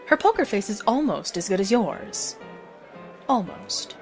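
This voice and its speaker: A woman's voice: medium pitch, very animated, measured pace, soft background music. A woman narrating a scripted story as the narrator of an audio drama.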